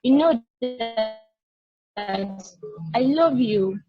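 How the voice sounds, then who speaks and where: A woman's voice: fairly high pitch, animated, very slow, over music. A woman playing a caring but exasperated space probe in an amateur play reading. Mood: gentle, reassuring. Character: caring, patient, exasperated sentient space probe explaining to another. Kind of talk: amateur play table read. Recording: dull, lo-fi call audio.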